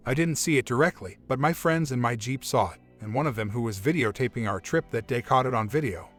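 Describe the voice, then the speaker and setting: Man calmly reading a first-person story. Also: mid-range voice. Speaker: narrator. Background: faint background music.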